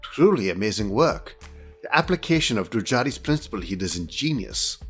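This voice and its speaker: An actor playing a polite, exacting, condescending senior inspector in an audio drama, speaking in a formal, measured, officious manner with a husky voice. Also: male voice, lowish voice, big pitch swings, fairly bright tone, exaggerated voice. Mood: approving, courteous. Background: music.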